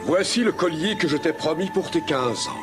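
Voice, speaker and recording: A solemn father king of the sea performed by a man speaks for a children's animated film. His delivery is measured and stately, with expressive swings in pitch. Music plays clearly behind him. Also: close to an everyday voice. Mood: kindly.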